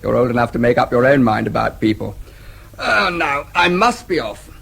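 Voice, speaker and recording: An actor playing a brisk, dry-humoured English diplomat and protective brother in a radio drama, with an English accent. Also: male voice, medium pitch, sing-song, gravelly, a bit bright, husky, full character voice. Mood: brisk, affable. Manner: brisk, explanatory, dryly teasing. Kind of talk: BBC radio drama acting.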